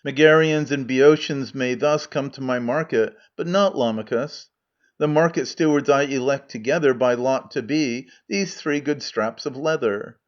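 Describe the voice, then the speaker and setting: A man's voice: medium pitch, moderate pace, close to an everyday voice. A man voicing a shrewd, dry-witted male Athenian farmer, delivering verse sarcastically. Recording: muffled lo-fi recording.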